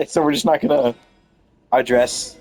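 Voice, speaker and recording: A man's voice: mid-range voice, moderate pace, darkish tone. A man giving casual, rambling gameplay commentary in an offhand way. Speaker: gamer, streamer. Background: game music.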